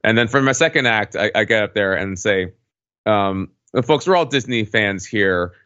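Deep-voiced man on a roomy, dull mic. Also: moderate pace, sharp tone. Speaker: podcast regular. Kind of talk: casual chat, anecdote telling.

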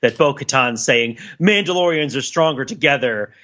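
Male podcast host, bright forceful voice, wordy casual analysis, slightly muffled recording. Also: mid-range voice, moderate pace. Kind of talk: casual analytical discussion of a TV show.

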